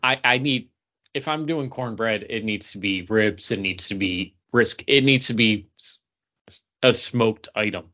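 A man's voice: lowish voice, unhurried, sharp tone. A man co-hosting a podcast with blunt, joking banter. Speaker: podcast co-host. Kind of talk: casual chat, banter. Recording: dull, muffled audio.

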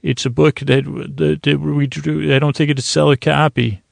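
Creaky, flat-toned rambling man. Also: on the high side, no room sound. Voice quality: gravelly, creaky. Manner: meandering, halting, self-deprecating. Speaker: podcast host. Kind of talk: rambling bedtime monologue.

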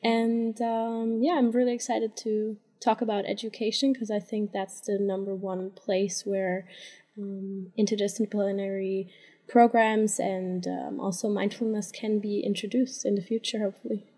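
A woman's voice: medium pitch, level tone, moderate pace, dark tone. A young adult woman, a university student, introducing herself conversationally as a podcast guest.

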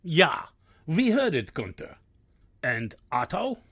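An actor playing a gruff, wary German-American man in a 1940s-style radio drama, with a raspy, creaky voice. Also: fairly high pitch, huge pitch swings, takes it slowly, fairly bright tone, no echo, studio quality, full character voice. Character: gruff, wary male member of secret German-American circle.

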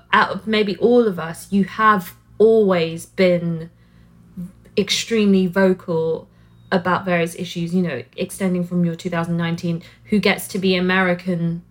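A woman interviewing a guest in a casual, earnest way, using "like" often as she talks. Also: fairly low pitch. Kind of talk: conversational interview.

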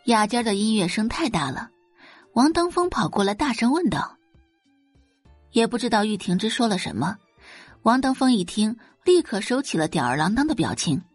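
A woman narrating a dramatic audio novel in an expressive storytelling manner. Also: a little high, moderate pace, husky, no echo. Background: faint background music.

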